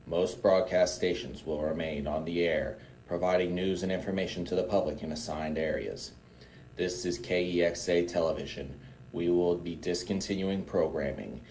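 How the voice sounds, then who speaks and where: A man delivering a scripted emergency alert in a formal, measured way as a broadcast announcer. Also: sits low, darkish tone. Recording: clear background noise and hum.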